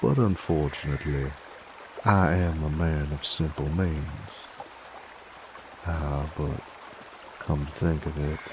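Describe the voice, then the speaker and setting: A man's voice: very deep voice, fairly even intonation, takes it slowly, long pauses, background noise, heavily acted. A man voicing a laid-back, teasing, dominant werewolf bounty hunter in roleplay ASMR, with a folksy, wry American Southern or Western drawl.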